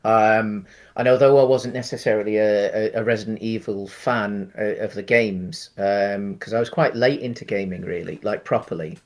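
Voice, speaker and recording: A man's voice: on the low side, steady tone, normal speed, few pauses. A man, chatting casually as a podcast co-host and thinking aloud in a rambling, hesitant way. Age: late 30s. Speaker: podcast co-host reviewing films. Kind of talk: casual chat.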